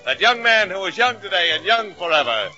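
High-pitched man announcing an old radio show. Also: very animated, a little fast. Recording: dull vintage radio recording, loud music behind.

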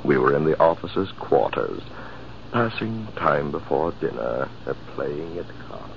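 A man narrating a 1940s radio drama in a measured, reflective way, on a dull, hissy old recording. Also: extremely low pitch, very animated, gravelly. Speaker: narrator and officer character.

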